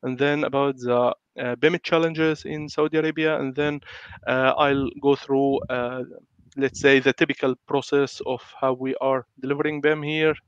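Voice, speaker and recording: A man's voice: mid-range voice, level tone, moderate pace, clean tone, no echo. Hesitant man with a flu-congested voice. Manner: hesitant, many fillers and restarts. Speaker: guest speaker on building information modelling. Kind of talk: online webinar presentation. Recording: dry, slightly muffled lo-fi audio.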